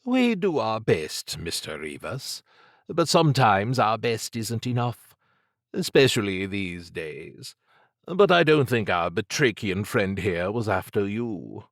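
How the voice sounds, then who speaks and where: A man voicing an urbane, condescending, androgynous occult agent for an audiobook, in a languid purr with drawn-out phrasing. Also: lowish voice, very animated, dry recording, clean studio sound, exaggerated voice. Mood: wry, unruffled. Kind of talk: audiobook character acting.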